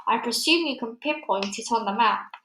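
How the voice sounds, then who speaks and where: A woman's voice: fairly high pitch, normal speed, pushed and bright, roomy sound, slight background noise. Woman doing an impatient, brusque air force chief. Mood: sceptical. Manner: brusque, sceptical.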